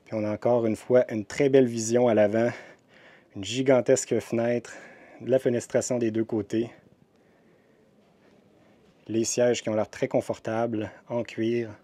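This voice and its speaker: A man's voice: mid-range voice, flat delivery, measured pace, pauses often, dark tone. A man with a Québécois French accent casually narrating a walkthrough of campers at an indoor RV show, chatty and descriptive, with frequent hesitations. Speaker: RV vlogger touring a trade show.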